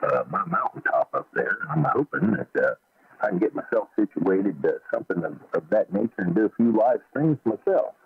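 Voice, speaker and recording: Man, very deep voice, husky, folksy ham radio chat, narrow radio sound. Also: expressive, normal speed. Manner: friendly, folksy. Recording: radio transmission, narrow band.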